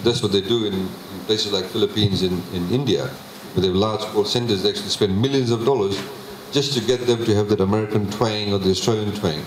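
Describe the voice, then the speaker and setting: A man, presenting a budget to a public meeting in a measured way, with many pauses and fillers. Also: fairly low pitch, steady tone, a little hiss or hum. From Fiji. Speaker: government minister presenting a budget. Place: public consultation meeting hall. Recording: echoey hall, faint ambience.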